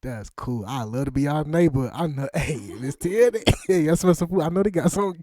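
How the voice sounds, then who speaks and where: A man's voice: on the high side, moderate pace, a little mellow, no room sound, clean studio sound. A man hosting a casual interview, talking in slangy, joking banter. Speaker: interviewer and host.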